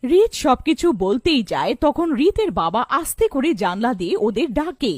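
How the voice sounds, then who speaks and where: A woman reading scripted narration for a children's cartoon story in an animated storytelling style. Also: high-pitched, moderate pace, no room sound, studio quality.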